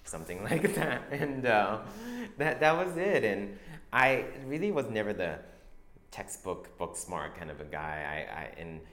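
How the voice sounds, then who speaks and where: A young man who grew up in Taiwan, a fashion designer answering interview questions in a soft, halting way with many restarts. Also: lowish voice, animated, slight background noise. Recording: echoey room with faint ambience.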